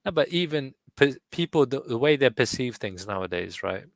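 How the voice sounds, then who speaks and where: A man from West London with a West London accent, talking over others in a casual live chat, quick and defensive. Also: medium pitch, big pitch swings, creaky, no echo, studio quality. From West London, England. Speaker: guest poet on a live audio room.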